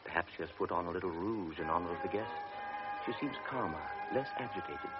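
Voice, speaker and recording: A man narrating a radio drama in a measured, quiet way. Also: low-pitched, animated. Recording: dull old radio recording with music.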